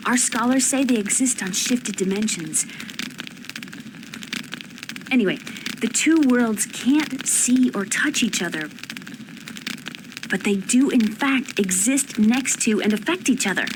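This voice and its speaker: A woman voicing an earnest young female summoner from another world in a video game, explaining things with conviction. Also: on the high side. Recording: noisy game ambience behind voice.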